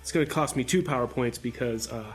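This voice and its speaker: A man's voice: mid-range voice, quick pace, faint music behind. A man playing a tabletop roleplaying game, casually describing what his character does.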